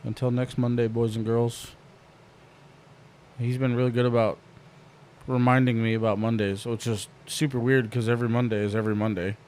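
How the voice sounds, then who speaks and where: A man's voice: medium pitch, little pitch movement, moderate pace, a little mellow, a little hiss or hum. A man hosting a podcast in a casual, joking way, swearing freely. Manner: laid-back, swears freely, jokey. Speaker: podcast host.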